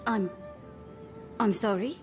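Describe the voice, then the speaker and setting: An actress playing a guarded initiate of a secret order in a fantasy video game. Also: female voice, medium pitch, takes it slowly, close to an everyday voice. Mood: taken aback. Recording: loud music behind the voice.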